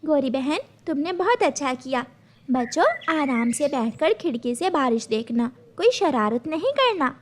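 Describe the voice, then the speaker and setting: A woman's voice: high-pitched, lively intonation, normal speed, slight background noise. A woman voicing an earnest, bossy, motherly female sparrow in a children's cartoon.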